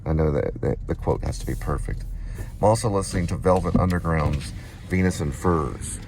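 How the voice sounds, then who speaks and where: A man's voice: deep voice, normal speed, a little mellow. A middle-aged man talking casually in a vlog, wandering and reminiscing as he goes, with noise behind him. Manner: wandering, reminiscing, spells things out. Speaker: vlogger and amateur playwright. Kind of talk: casual rambling vlog talk.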